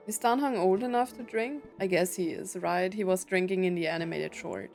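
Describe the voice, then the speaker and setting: A woman's voice: lowish voice, brisk, vocal fry, a little mellow, soft background music. A woman casually commentating on a game's story, reacting in brief asides. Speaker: game streamer reacting to story scenes.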